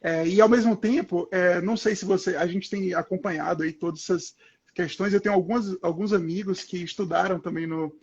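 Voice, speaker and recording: A man's voice: sits high, fairly even intonation, brisk, slightly rough, highs cut off. A Brazilian man hosting a live interview, asking long, hesitant questions full of fillers. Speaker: interviewer, professor. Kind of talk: casual interview over a live video call.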